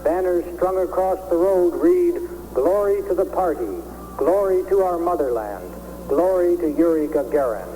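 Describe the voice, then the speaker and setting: Higher-voiced male correspondent, long reverb. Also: dark tone, slight background noise. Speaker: foreign news correspondent. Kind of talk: scripted descriptive radio dispatch. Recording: reverberant, humming 1960s radio relay.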